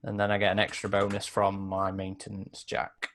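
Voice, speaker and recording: A man talks casually at a game table, swearing mildly now and then. His pitch is on the low side, and there is faint noise with clicks behind him. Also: completely flat delivery, moderate pace.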